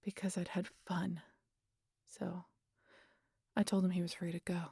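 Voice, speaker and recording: A wry, stubborn vampire woman, played by a woman confides in an intimate audio roleplay. Her voice is mellow and husky, recorded close and dry, and often slips toward a whisper. She talks casually, with swearing and a guarded, teasing edge. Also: lowish voice, level tone, unhurried, no room sound, exaggerated voice. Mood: nostalgic, wry. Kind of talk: intimate audio roleplay monologue addressed to the listener.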